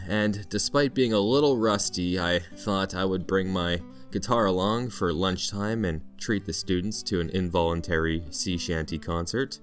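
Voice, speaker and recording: Canadian guy doing scripted vlog narration, kinda low voice, playful storyteller, bit of music behind. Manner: playful, storytelling with mock-dramatic flourishes. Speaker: vlog narrator, teacher in Japan.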